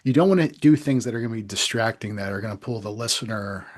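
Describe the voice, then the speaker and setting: A man's voice: fairly low pitch, fast. A man interviewing in a casual, informal way, with somewhat hesitant phrasing. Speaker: interviewer, host.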